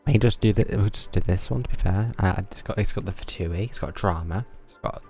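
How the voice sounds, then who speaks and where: A man's voice: sits low, a little fast, a little mellow. A man live-streaming a game, giving casual commentary in a dry, offhand way. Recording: game music underneath.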